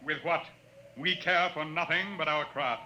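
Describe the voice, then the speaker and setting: A man's voice: on the high side, steady tone, a little slow, pushed and bright, muffled recording, a little hiss or hum. A man playing a proud, menacing alien chief in a pompous, archaic manner, in 1950s science fiction radio style. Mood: haughty, solemn. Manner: pompous, archaic, solemn speech. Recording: old radio broadcast, music behind.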